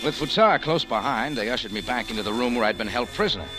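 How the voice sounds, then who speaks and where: A mid-pitched male private eye, played by an actor, hard-boiled and wry, with wide swings in pitch. He tells his case like a vintage radio thriller, over music. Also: animated, fast talker, natural voice. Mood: tense, narrating. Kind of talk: 1940s radio acting, first-person narration. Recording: old radio drama recording with music.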